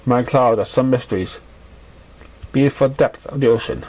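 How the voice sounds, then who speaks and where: Male amateur narrator, average pitch, halting delivery, scripted story reading, stumbles over words. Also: a little mellow, poor audio.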